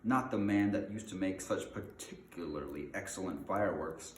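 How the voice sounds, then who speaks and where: A man's voice: low voice, normal speed, close to an everyday voice. A man voicing a fussy, polite middle-aged hobbit who is easily flustered, with chatty old-fashioned phrasing, in a storybook reading. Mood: astonished, excited. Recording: roomy echo, faint background noise.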